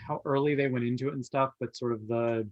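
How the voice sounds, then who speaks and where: A man's voice: mid-range voice, fairly even intonation, fast talker, darkish tone, no echo, highs cut off. A man chatting casually on a podcast panel in an easygoing, offhand way. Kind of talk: casual chat.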